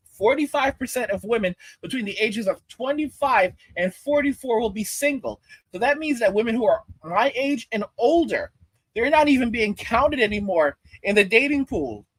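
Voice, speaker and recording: A man's voice: high voice, fairly bright tone, slightly rough, dry recording, rough recording. A man in his forties ranting in commentary over a clip, stammering and repeating himself with mocking asides. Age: 40s.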